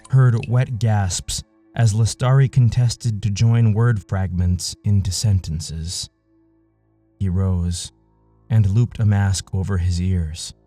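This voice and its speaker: A man narrating a scripted literary audio story in a measured, solemn manner. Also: fairly low pitch, mellow.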